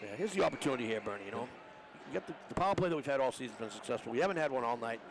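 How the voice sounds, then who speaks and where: Fast, gravelly male hockey color commentator. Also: medium pitch, lively intonation. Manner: informal, colloquial explanations to broadcast partner. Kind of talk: conversational sports analysis. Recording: noisy arena broadcast, rink ambience behind.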